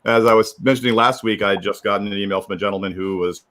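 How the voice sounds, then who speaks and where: A man with a lowish voice hosts a livestream. He talks very quickly and informally, rambling through casual announcements and circling back over his own phrases. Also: fairly even intonation, fairly bright tone. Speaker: livestream host. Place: remote video livestream.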